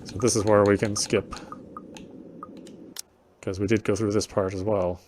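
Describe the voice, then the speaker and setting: A man's voice: on the low side, flat delivery, measured pace, darkish tone, a little hiss or hum. A man doing casual let's play commentary and reading a game's story text aloud. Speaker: game streamer. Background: game music.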